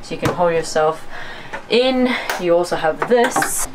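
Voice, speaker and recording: Lowish-voiced Swedish woman chatting casually. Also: animated, unhurried, noisy background. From Sweden. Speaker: sailing vlogger. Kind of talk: casual chat and tour to camera.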